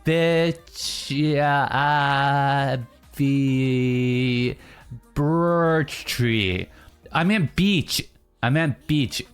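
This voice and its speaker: A streamer in casual live-stream chatter, teasing chat and answering in mock outrage between low, mumbled musings. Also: drawn-out words, smooth, soft background music. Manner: reacts to chat, teasing, mock-indignant bursts.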